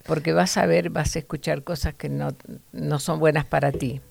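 Gravelly-voiced woman teaching Bible lessons. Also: low-pitched, fairly even intonation, moderate pace, darkish tone. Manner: earnest, preachy, with fillers and restarts.

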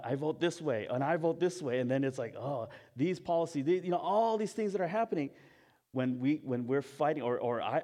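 A man from Hawaii gives a relaxed, story-driven sermon at a mid-range pitch. He talks in a chatty, halting way and often breaks off with laughs at his own expense. The talk sounds like a pastor speaking to his congregation. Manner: chatty and self-mocking, laughs often at himself; halting, frequent restarts and fillers. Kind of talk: conversational sermon with personal stories.

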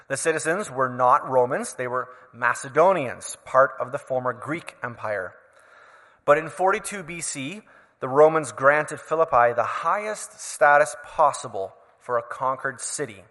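Canadian man preaching in a reverberant hall. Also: medium pitch. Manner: conversational, explanatory, with relaxed asides. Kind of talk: sermon. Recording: long reverb of a large hall.

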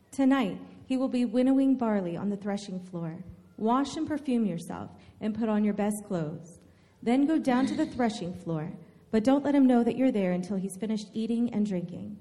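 Woman acting older mother-in-law, caring, instructive, mellow tone, earnest scripture drama, reverberant hall. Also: a little high, lightly acted.

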